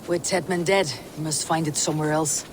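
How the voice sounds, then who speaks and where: A woman's voice: deep voice, fairly even intonation, moderate pace, lightly acted. Woman playing tough grim viking fighter lady in a game, blunt n suspicious, bit of noise behind. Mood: wary. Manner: grim, blunt, solemn delivery. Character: hard, suspicious female Viking warrior. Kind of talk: video game cutscene acting.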